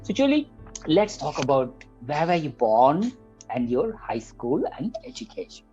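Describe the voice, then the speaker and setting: A man's voice: a little high, sing-song, a little slow, dark tone, muffled recording, faint music behind. A man hosting a podcast, reading a long guest introduction with light jokes and a few stumbles. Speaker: podcast host. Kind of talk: podcast host introduction and interview.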